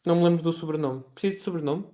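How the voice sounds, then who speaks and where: A man's voice: a little high, rapid-fire, warm tone. A young man with a European Portuguese accent, a quiz contestant who chats, stumbles and restarts while trying to recall names. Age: young adult. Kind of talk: casual quiz chat among friends.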